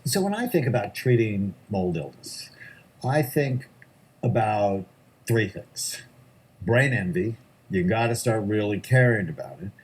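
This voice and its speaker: A middle-aged male psychiatrist speaks in a slightly low, husky voice. He answers interview questions emphatically, often hammering home his points with short repeated lists. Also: animated, a little slow. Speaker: psychiatrist guest.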